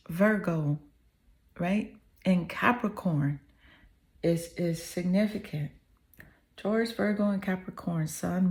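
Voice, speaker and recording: A slightly hoarse woman giving an earnest, affirming tarot reading to the viewer, often checking in with "okay?" and "you know". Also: mid-range voice, darkish tone. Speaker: tarot reader.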